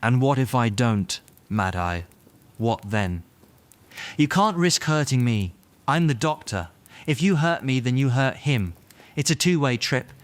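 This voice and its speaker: A man acts out a reformed dark wizard pleading his case in a fantasy audio drama. He is defensive and insistent, with a defiant, sarcastic edge and a mid-pitched voice. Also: lively intonation, unhurried, close to an everyday voice. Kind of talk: fan audio drama acting.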